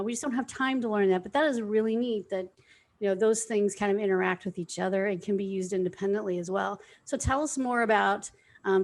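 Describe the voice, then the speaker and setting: An American woman hosting a podcast interview, chatty and effusive as she asks questions. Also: mid-range voice. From United States. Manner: chatty, effusive, self-deprecating. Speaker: podcast host.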